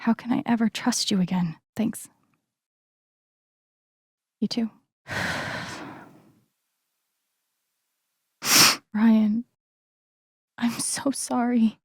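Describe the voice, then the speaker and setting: Young woman in a game drama, earnest n wounded, breathy with some fry, super close dry sound. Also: fairly high pitch, steady tone, a little mellow, no room sound, heavily acted. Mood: tender, hurt. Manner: slow, deliberate, emotionally weighted phrasing. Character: earnest, wounded young woman confronting betrayal with quiet conviction. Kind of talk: naturalistic dramatic video game acting.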